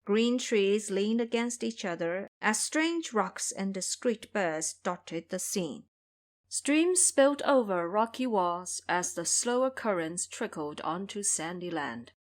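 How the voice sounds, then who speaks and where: Animated woman storyteller. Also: mid-range voice, slow pace. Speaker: narrator. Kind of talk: dramatic scripted story narration.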